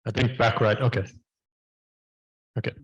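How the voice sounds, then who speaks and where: A man moderating a startup event, taking audience questions and introducing speakers in brisk, courteous phrases. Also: medium pitch, level tone, breathy edge, no room sound, dull audio. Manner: brisk, courteous, short prompts. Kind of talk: moderating a panel Q&A.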